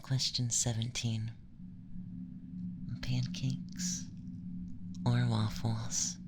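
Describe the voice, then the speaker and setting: A man playing a curious alien femboy in an ASMR roleplay, speaking softly and deliberately in a gentle, breathy near-whisper. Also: mid-range voice, flat delivery, very noisy. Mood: curious, calm. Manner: deliberate, gentle, questionnaire-like delivery with pauses. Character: soft-spoken curious alien femboy conducting a formal assessment. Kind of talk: ASMR roleplay, close soft speech and whispering.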